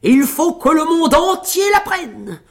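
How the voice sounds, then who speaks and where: A woman's voice: high voice, sing-song, a little slow, pitched above the performer's own voice. A woman voicing a boastful, plucky little male tailor in a fairy tale, cocky and full of exclamations. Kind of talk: fairy-tale storybook acting.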